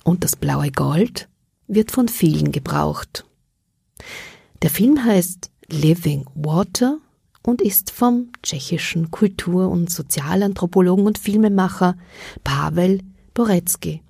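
A woman hosting a live radio show from the studio, announcing in a measured, formal way. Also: on the low side, expressive, gravelly, warm tone, husky, no echo. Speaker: radio host. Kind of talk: radio moderation. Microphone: studio microphone.

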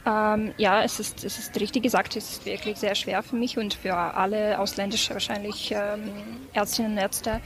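A woman's voice: mid-range voice, flat delivery, moderate pace, hardly any pauses, slight background noise. A woman in her twenties from Ukraine with a Ukrainian accent, answering interview questions hesitantly with many fillers. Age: 20s. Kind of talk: casual interview. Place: café.

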